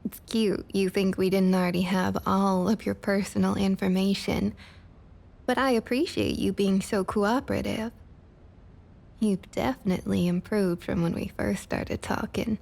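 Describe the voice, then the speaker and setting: A woman playing a domineering, patronizing vampire councilwoman with a Southern US accent and a husky, breathy voice, in an ASMR roleplay. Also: mid-range voice, steady tone, a little mellow. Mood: businesslike, smooth. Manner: condescending, drawling pet names, slow and deliberate.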